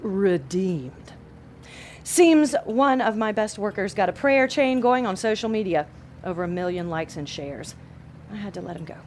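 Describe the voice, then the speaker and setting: A woman's voice: medium pitch, lively intonation, normal speed, a little mellow, a little hiss or hum, lightly acted. A woman playing a sardonic, bossy female devil who runs an office in a comedy audio drama. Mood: businesslike, irritated. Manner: bossy, sarcastic, exasperated.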